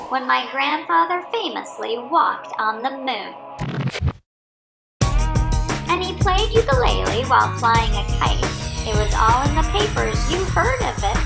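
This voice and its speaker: Woman as a high, animated cheeky little schoolboy. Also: full character voice. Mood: excited, boastful. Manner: breezy, confident, exuberant. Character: imaginative, cheeky young schoolboy telling tall tales. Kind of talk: children's picture book read-aloud. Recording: roomy sound under clear music.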